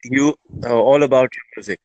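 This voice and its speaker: A man in his forties from India, a singer and interview guest, speaking slowly and deliberately. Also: mid-range voice, a little mellow, no room sound, lo-fi sound. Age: 40s. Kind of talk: casual interview chat.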